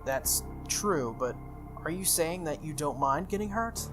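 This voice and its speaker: A man's voice: on the high side, lively intonation, a little slow. A man voicing an earnest, hesitant teenage boy, a reluctant young master in a visual novel. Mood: earnest, unsure. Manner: incredulous, casual, hesitant. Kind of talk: visual novel character read-aloud. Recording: music under the voice.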